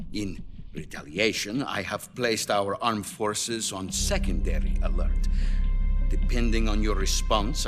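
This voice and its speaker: A man's voice: fairly low pitch, lightly acted. A man playing a stern Soviet premier. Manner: measured and grave, rising to angry. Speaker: Soviet leader on a hotline call. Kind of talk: video game cutscene acting. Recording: game audio with score behind.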